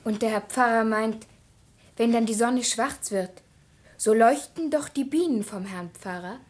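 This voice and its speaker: A woman's voice: fairly high pitch, unhurried. A woman playing a tender, naive young child in a radio drama, full of wondering questions. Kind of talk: German radio drama acting.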